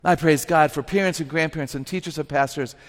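A woman with an unusually low voice gives a lively, humorous talk to a live audience through a microphone. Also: fast. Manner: lively, humorous, emphatic, laughs at herself. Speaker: guest speaker and author. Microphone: microphone on a stage. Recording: live talk with long hall reverb.

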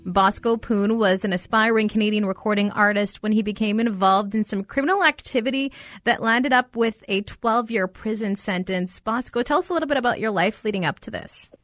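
A woman co-hosting a faith program, introducing the guest and asking her first question in a clear, steady way. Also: medium pitch, fairly quick, no echo, clean studio sound, faint music behind. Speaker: co-host. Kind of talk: scripted introduction.

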